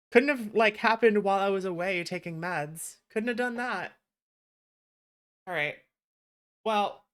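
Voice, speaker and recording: A woman with a slightly low voice chats casually as a livestream host. Her delivery is animated and teasing, loose and conversational, with the odd swear word.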